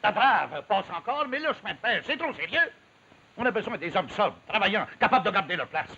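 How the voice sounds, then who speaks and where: A man playing a stern, gruff parish priest with a Quebec French accent, brusque and scolding, in 1950s television drama style. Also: sits high, very animated, a little fast, sharp tone, exaggerated voice. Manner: brusque, scolding, repeats himself. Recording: dull, noisy 1950s television soundtrack.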